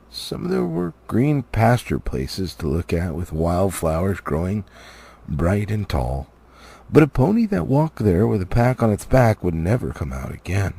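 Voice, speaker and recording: A man narrates a fantasy storybook as an amateur audiobook reader. His pitch is extremely varied and expressive, sinking often into gravelly fry. The tone has a husky grain.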